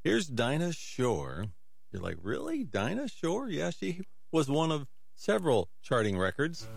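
Male radio music host, folksy and rambling, very unhurried delivery, dry close sound. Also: on the high side, huge pitch swings, gravelly, no echo. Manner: folksy, rambling, self-deprecating asides. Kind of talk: casual music presenting.

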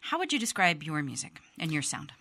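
A woman hosting a radio arts show, interviewing a guest with measured, gently prompting questions. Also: deep voice, sing-song, no room sound.

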